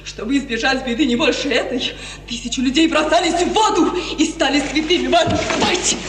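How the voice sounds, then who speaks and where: An old radio recording with a long hall reverb and some noise carries an actress as an impassioned young noblewoman. Her voice is extremely high. She declaims with fervent desperation, stretching her phrases as in stage tragedy. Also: fairly quick, natural voice. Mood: fervent, desperate. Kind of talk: 1950s Russian radio tragedy acting. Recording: 1950s radio recording, echoey hall, noisy.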